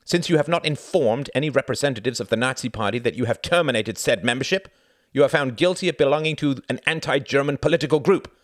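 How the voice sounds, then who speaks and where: A man's voice: medium pitch, fast, no echo, clean studio sound, pitched above the performer's own voice, natural voice. Man acting a harsh, barking Nazi officer. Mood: commanding, menacing. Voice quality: harsh, grating. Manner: barking, impatient, menacing. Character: brutal, bullying Nazi officer barking orders and charges. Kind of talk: audiobook character acting.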